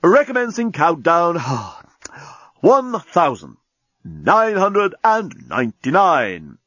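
A man voicing a chatty, indignant talking bomb in a comic science-fiction audiobook, huffy and deliberate. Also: on the high side, huge pitch swings, fairly bright tone, breathy edge, dry recording, highs cut off, voice pushed up, full character voice. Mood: solemn counting. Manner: deliberate countdown, huffy complaining.

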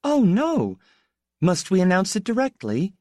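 A shy teenage schoolgirl, put on by a male narrator in a high, soft voice that swoops wildly between notes. She speaks slowly and hesitantly, her feelings close to the surface. The reading sounds dry and close. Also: wildly swooping pitch, no echo, heavily acted. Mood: shy dreamy. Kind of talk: audiobook character voice.

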